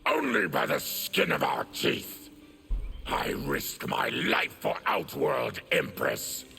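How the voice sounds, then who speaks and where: An actor playing a grave, weary and dignified inhuman male warrior in a video game, gravelly and measured. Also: fairly high pitch, huge pitch swings, pushed and bright, slight background noise, heavily acted. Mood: indignant, weary. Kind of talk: dramatic video game dialogue.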